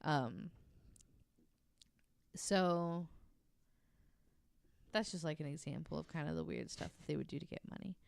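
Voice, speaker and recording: A woman hosting a podcast, casually narrating a researched story from notes in an informal, halting way. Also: sits low, creaky, no echo, studio quality.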